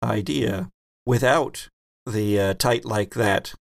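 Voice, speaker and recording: Gravelly-voiced man running a game. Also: lowish voice, dry recording. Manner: measured, explains rules and rulings. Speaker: game master.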